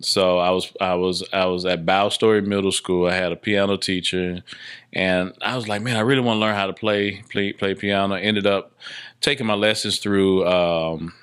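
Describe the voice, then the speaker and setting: A low-voiced man from Texas chats as a guest on a podcast. He tells his stories in a casual, conversational way. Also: steady tone, normal speed, a bit bright, clean tone. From Dallas, Texas, United States. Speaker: podcast guest, filmmaker and musician.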